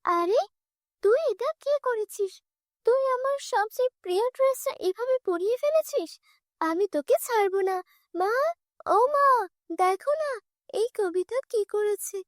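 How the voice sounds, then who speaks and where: A woman's voice: extremely high pitch, animated, unhurried, no room sound, studio quality, pitched above the performer's own voice. A woman voicing a spoiled, spiteful young stepsister in a cartoon, bossy and whining. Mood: whiny outrage.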